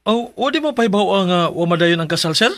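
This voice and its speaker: A man's voice: sits high, lively intonation, brisk, fairly bright tone, no echo, studio quality. A man with a Filipino accent playing a bewildered young groom-to-be in a radio drama. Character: bewildered young groom-to-be, trying to fix things.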